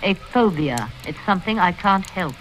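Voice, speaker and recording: An actress in a vintage radio drama playing a prim, unflappable, fussy spinster with a genteel, matter-of-fact way of speaking. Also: female voice, fairly low pitch, lively intonation, moderate pace, fairly bright tone, poor audio. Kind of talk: 1940s-50s radio drama acting, first-person narration. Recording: vintage radio recording with music.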